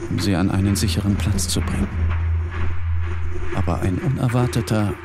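A man narrating a dark literary text in the first person, with brooding, deliberate phrasing. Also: fairly low pitch, gravelly, noisy background. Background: music.

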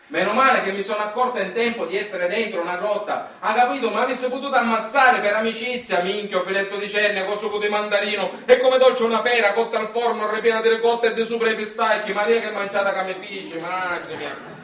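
A man's voice: high voice, steady tone, fairly quick, bright, forceful tone. A man acting a nostalgic, chatty small-town Sicilian storyteller, speaking in a folksy, conversational stage monologue with dialect and a Sicilian accent. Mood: animated, amazed. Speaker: stage actor performing a monologue. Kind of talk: colloquial theatrical storytelling monologue. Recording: roomy, echoing, distant mic, faint noise.

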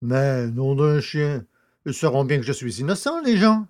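A man's voice: mid-range voice, big pitch swings, measured pace, darkish tone, no echo. Casting: male actor as naive, stubborn ex-prisoner poacher, plain talker, audio drama, close dry recording. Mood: indignant.

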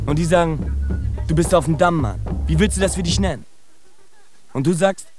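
An actor playing a proud, streetwise young fighter in a radio drama, speaking cocky slang straight at the listener. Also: male voice, a little high, moderate pace, music in the background, lightly acted. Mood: proud, insistent.

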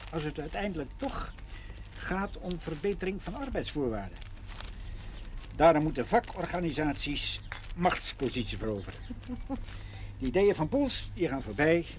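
An actor playing a gentle, modest older retired schoolteacher in a naturalistic seventies television drama, hesitant but earnest. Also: male voice, a little high, lively intonation, normal speed, slight background noise, close to an everyday voice. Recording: 1970s television soundtrack with music.

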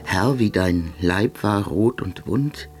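An actor playing a doomed soldier of thirty in a radio drama, resigned, halting and terse. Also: male voice, lowish voice, expressive, darkish tone. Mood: resigned, solemn.

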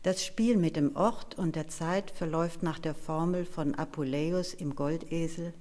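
Low-voiced woman interpreter, measured delivery. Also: warm tone. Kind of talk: live consecutive interpreting and reading of a prepared text.

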